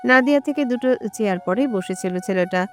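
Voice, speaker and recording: Female narrator for romantic audio fiction, average-pitched voice over a faint music bed. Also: brisk. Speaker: story narrator.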